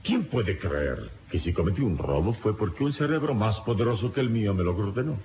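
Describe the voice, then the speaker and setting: An actor playing a calm, resigned adventurer hero in a radio serial, speaking in a measured, solemn, formal way. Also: male voice, lowish voice. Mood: wry, resigned. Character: calm, resigned turbaned adventurer hero, confessing under interrogation. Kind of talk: old radio serial acting. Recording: dull, noisy old radio recording.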